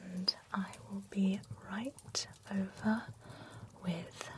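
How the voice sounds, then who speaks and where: A woman voicing a gentle female spa attendant for monsters, in a soft, teasing, whispered ASMR role-play. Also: mid-range voice, fairly even intonation, very slow, slight background noise. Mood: soothing, teasing. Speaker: ASMR creator.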